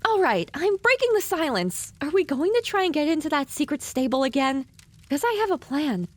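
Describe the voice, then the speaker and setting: A woman's voice: high voice, very animated, brisk, voice pushed up. A woman voicing a young, distractible mare with a wondering, rambling manner in an audiobook. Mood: resolved. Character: young, distractible mare, puzzled and self-questioning.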